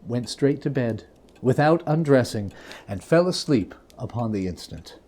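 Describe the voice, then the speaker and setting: Mellow-voiced man narrating a story. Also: medium pitch, lively intonation, measured pace. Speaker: reader.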